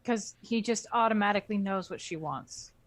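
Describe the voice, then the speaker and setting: A woman's voice: medium pitch, normal speed, very noisy. A woman making short, casual, snarky asides as a co-commentator on a story. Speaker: book club co-commentator.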